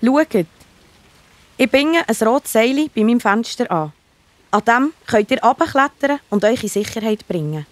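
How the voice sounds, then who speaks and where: A woman's voice: fairly high pitch, lively intonation, unhurried, no room sound. A woman with a Swiss German accent playing a brave, cautious townswoman who helps fugitives in a children's Bible audio drama. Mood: practical. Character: Rahab, brave, helpful townswoman sheltering spies.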